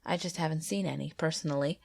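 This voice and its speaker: A woman with a stuffy nose from a cold, gently and earnestly reading true ghost stories aloud as the narrator of her channel. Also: deep voice, steady tone, quick pace, creaky. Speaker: YouTube ghost story narrator. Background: faint background music.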